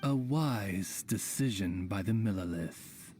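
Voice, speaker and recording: A man's voice: lowish voice, lively intonation, slow pace, darkish tone, faint music behind. A man voicing a dignified raven familiar in a video game, formal, courteous and wordy like a dry-witted butler. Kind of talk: video game character acting.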